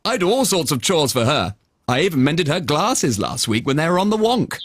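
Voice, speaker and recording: A man voicing a kindly, calm unicorn who cleans houses in a children's bedtime story. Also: a little high, normal speed, dry recording, studio quality. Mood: chatty, approving.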